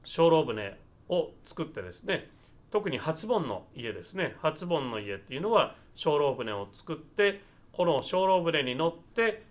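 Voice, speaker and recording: A man from Nagasaki, Japan, giving an explanatory Buddhist talk as a minister, conversational and going step by step. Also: a little high. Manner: conversational, explains step by step, often ends phrases seeking agreement.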